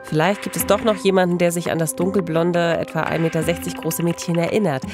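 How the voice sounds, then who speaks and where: A woman, narrating a true-crime podcast as host in a measured, scripted style. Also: lowish voice, flat delivery. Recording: voice over music bed.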